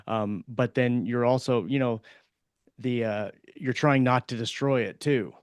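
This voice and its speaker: A man talking casually as a podcast co-host, in a rambling, halting way that circles around his point. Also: mid-range voice, no echo. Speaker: podcast co-host and fiction writer. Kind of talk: casual discussion.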